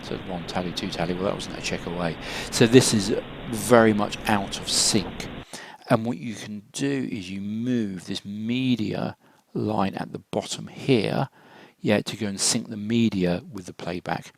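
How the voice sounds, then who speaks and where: A man narrates an informal software walkthrough in a hesitant, matter-of-fact way, with a low, gravelly voice into a close microphone. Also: measured pace, noisy background. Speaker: software tutorial presenter. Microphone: close computer microphone, screen-recording voice-over.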